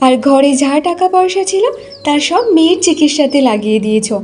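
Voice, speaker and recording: A woman's voice: high-pitched, lively intonation, fairly quick, a little mellow. A woman voicing a worried, nagging wife of a poor villager in a cartoon story, reproachful and insistent. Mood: exasperated.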